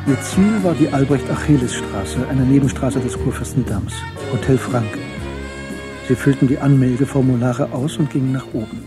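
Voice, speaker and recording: A man narrating a German literary radio play in a measured, sober storytelling manner. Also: mid-range voice, natural voice. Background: music.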